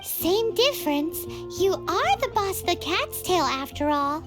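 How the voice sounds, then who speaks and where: A woman voicing a small, childlike fairy companion in a video game, squeaky, excitable and blunt. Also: a little slow, exaggerated voice. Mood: blunt, skeptical. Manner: exclamatory, blunt. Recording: music playing behind the voice.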